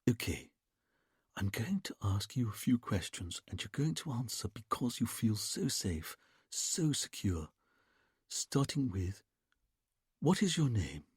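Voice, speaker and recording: A man voicing a vain male hypnotist who murmurs softly and soothingly to a woman in a trance. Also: medium pitch, huge pitch swings, vocal fry, husky, no echo, studio quality, exaggerated voice. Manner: soft, slow, soothing murmur.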